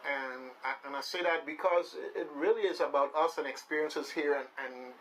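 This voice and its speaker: A man with a Caribbean accent from the Virgin Islands hosts casual live radio, talking playfully and haltingly and repeating his phrases. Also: on the high side, a bit bright, a little hiss or hum, poor audio. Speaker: radio show host. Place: radio studio with shared microphones.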